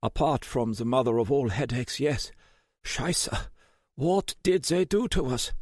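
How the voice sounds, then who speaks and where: A man's voice: mid-range voice, expressive, measured pace, a little mellow, no room sound, studio quality, pitched above the performer's own voice. A man voicing a young German hacker with a German accent, slurring and groggy.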